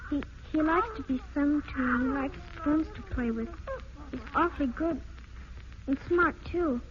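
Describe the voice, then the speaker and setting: A woman acting a shy little girl in a vintage radio play, high-pitched and earnest. She speaks haltingly, stammering over her words, on a muffled, faintly noisy old recording. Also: lightly acted. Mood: earnest, tender.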